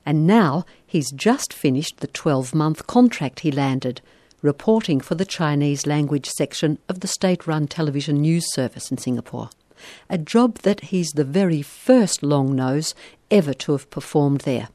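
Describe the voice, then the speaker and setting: A woman host with a low, mellow voice leads a radio interview in polished, formal sentences. The sound is dry and close, with no room around it. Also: lively intonation, normal speed, dry recording. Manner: articulate, formal questioning. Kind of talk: scripted introduction and interview questions.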